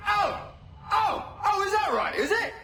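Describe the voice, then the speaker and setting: A jealous, shouting pirate. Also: male voice, very high voice, big pitch swings, a little slow, a crowd in the background, heavily acted. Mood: jealous, blustering. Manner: shouting, taunting. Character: jealous brawling male pirate, hot-tempered. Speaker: pirate captain. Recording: echoey, noisy, from afar.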